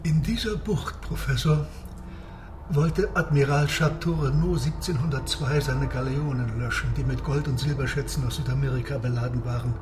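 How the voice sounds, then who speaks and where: An actor playing a composed, knowledgeable submarine captain, explaining formally and deliberately in a radio drama. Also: male voice, medium pitch, lightly acted. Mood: grave, explanatory. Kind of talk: German radio drama dialogue. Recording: noisy ambient sound behind voice.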